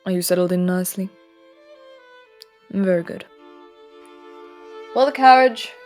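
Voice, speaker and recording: A woman voicing an aloof, aristocratic immortal prince in an audio roleplay drama, speaking in a cool, commanding tone with soft murmured asides. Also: mid-range voice, a little slow, soft background music, natural voice. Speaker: voice actor.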